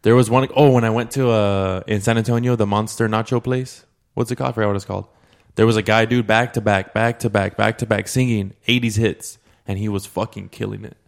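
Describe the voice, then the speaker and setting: Casting: gravelly, low man, relaxed podcast talk, salty language. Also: brisk, hardly any pauses. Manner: laid-back, swears often, speaks low. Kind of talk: casual chat.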